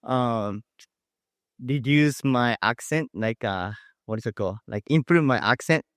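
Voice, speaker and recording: A teenage boy with a Japanese accent, an international student answering panel questions in a halting, hesitant way. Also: medium pitch, fairly bright tone, no echo, clean studio sound. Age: teens. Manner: hesitant, halting, many fillers.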